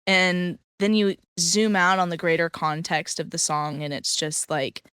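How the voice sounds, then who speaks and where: A woman band singer talks about songwriting with candid enthusiasm. Her voice sits a little low and is smooth and clear, recorded close and dry. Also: level tone, unhurried, fairly bright tone, no echo. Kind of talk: casual interview chat.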